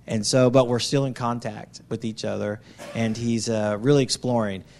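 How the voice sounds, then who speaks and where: A man's voice: mid-range voice, fairly even intonation, moderate pace, gravelly, darkish tone. A man giving an anecdotal church testimony as a missionary, telling it conversationally and acting out what was said. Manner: conversational storytelling, acts out quotes.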